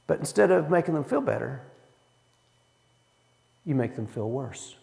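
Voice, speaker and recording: Slow, gravelly man teaching in a church hall. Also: medium pitch, sing-song, darkish tone. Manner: folksy, asks the room questions. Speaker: Bible study teacher. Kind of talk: church Bible study teaching.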